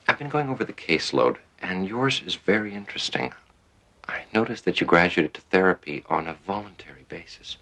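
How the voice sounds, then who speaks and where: A kindly doctor in a TV drama, with a low voice and lively, moving intonation. He is patient and inquisitive, probing gently with small hesitations. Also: fairly quick, exaggerated voice. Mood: friendly, gentle. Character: kindly, inquisitive male psychiatrist, calm and patient. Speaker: actor in a television drama. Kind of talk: naturalistic television drama dialogue.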